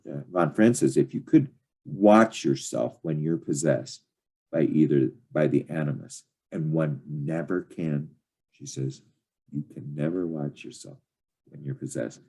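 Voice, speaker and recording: Very low, husky older man lecturing haltingly. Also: mellow. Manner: halting, digressive delivery with trailing, unfinished sentences. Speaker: study group lecturer. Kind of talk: informal lecture, reading and commenting on a text.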